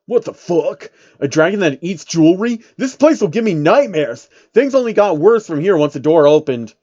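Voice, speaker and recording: Higher-voiced man narrating, lo-fi sound. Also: lively intonation, normal speed, mellow. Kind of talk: fan fiction reading with asides.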